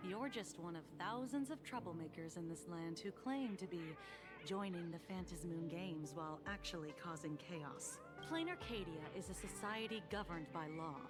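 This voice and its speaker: A voice actress plays a dutiful young police officer in a video game. She speaks in a slightly low female voice, formal, measured and sure of her authority. Music plays clearly behind her. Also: expressive, close to an everyday voice. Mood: firm. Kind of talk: game dialogue voice acting.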